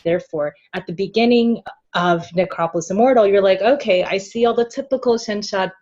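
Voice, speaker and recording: A woman's voice: mid-range voice, a little fast, a little mellow, muffled recording. A woman speaking as a podcast guest in a casual chat, playful and giggly, often thinking aloud.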